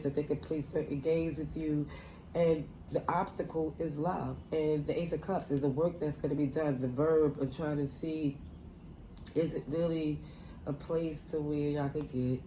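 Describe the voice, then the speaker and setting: A woman's voice: deep voice, warm tone, a little hiss or hum, low-quality recording. A woman with a cold, her voice stuffy and nasal, giving a loose, rambling tarot reading full of "you know" and "like". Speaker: tarot reader. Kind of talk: casual tarot reading to camera.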